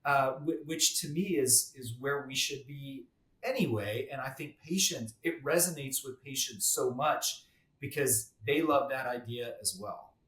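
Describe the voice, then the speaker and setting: A man's voice: mid-range voice, a little slow, fairly bright tone. Man explaining medicine in a roomy echo. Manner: explanatory, repeats words when restarting. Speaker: guest dermatologist. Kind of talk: podcast interview.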